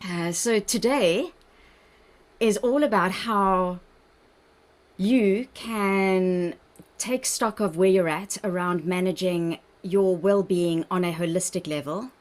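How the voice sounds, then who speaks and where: A woman's voice: lowish voice, takes it slowly. A woman with a South African accent, a dietitian leading an online wellbeing workshop on a video call in an encouraging, chatty manner. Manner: warm, encouraging, chatty with laughs. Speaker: workshop presenter, registered dietitian.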